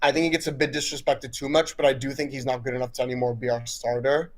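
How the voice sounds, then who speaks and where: Swiss-raised American caller, international accent. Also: male voice, medium pitch, flat delivery, quick pace. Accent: mixed American and Swiss international. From Switzerland. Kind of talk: casual football chat.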